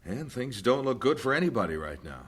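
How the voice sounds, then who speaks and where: Lively, practical missionary husband. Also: male voice, medium pitch, brisk, breathy edge. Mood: grave. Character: steady, practical missionary husband, gently teasing. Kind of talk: radio drama acting.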